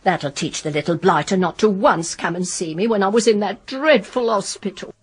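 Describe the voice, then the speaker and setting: An actress playing an elderly, sharp-tongued English mother and petty swindler, husky and theatrical, in a radio crime drama. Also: mid-range voice, moderate pace, lightly acted. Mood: grand, spiteful. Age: older.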